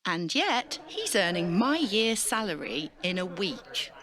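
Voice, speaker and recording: A sarcastic, breezy British newspaperwoman in an audio drama. Her voice sits lowish for a woman, very lively and bright, with theatrical flair. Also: slow, heavily acted. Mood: sardonic. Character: sardonic, breezy woman political correspondent and arts critic.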